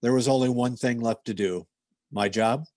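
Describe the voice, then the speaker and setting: A man playing a deadpan, clipped police detective narrating his case in a 1950s radio parody style. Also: medium pitch, moderate pace, no room sound, clean studio sound, faint music behind, lightly acted. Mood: terse, matter-of-fact.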